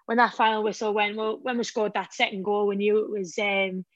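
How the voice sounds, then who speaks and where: A woman footballer with a Northern Irish accent, a slightly croaky voice and a halting, emotional way of talking in a radio interview. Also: mid-range voice, steady tone, no echo, muffled recording. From Northern Ireland. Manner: halting, many restarts, emotional. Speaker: footballer and pundit guest.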